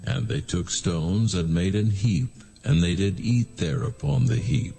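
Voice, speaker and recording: Male narrator, very gravelly, dark low tone, measured solemn scripture reading. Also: slight background noise.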